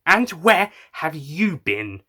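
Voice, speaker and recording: A cross female companion, voiced by a man scolds and nags with jealous indignation. The delivery is bright and sharp, raised above the narrator's pitch in this audio story. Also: very animated, takes it slowly, full character voice. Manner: cross, nagging.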